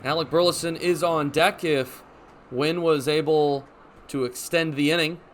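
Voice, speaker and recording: Young guy doing radio baseball play by play, high bright voice, some stadium noise behind him. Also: unhurried. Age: young adult. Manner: crisp, descriptive phrases with excited calls on big plays. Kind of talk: live sports commentary. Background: ballpark ambience.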